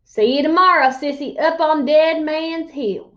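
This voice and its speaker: A folksy old man played by a woman spins boastful tall tales from a children's storybook. The voice is high and given to grand exaggeration, on a slightly dull, lo-fi recording. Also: unhurried. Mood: folksy, playful. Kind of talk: children's storybook read-aloud.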